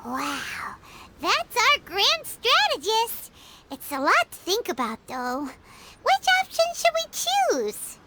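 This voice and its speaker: A woman voicing a tiny, childlike female companion creature in a video game, earnest and excitable, with squeaky, drawn-out delivery. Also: heavy background noise, exaggerated voice. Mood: impressed, pondering. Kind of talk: video game character dialogue. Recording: loud music under the voice.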